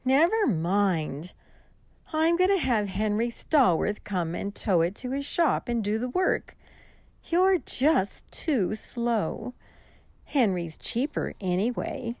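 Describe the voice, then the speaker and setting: Woman acting a haughty, slow-speaking scold. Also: medium pitch, big pitch swings, gravelly, a little mellow, no echo, exaggerated voice. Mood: curt, dismissive. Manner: curt, scolding, dismissive. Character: haughty, penny-pinching female garage customer, curt and indignant. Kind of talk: amateur radio mystery drama acting.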